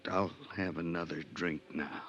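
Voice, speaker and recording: An actor playing a frightened, uneducated seaman with rough, ungrammatical speech in an old-time radio drama. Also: deep voice, fairly even intonation, normal speed, loud music behind the voice. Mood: grim, secretive. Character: nervous, frightened uneducated seaman, wary informant. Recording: dull vintage radio recording, faint hiss, clicks.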